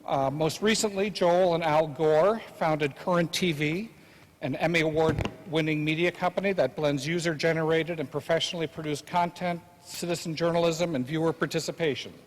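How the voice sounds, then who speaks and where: A man representing a sponsor, reading a moderator's introduction with halting delivery and many fillers. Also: on the high side, fairly even intonation, a little hiss or hum. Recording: long hall reverb.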